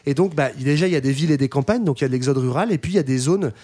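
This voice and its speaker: Fast-talking man explaining casually. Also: on the high side, warm tone. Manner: quick, with many fillers and restarts.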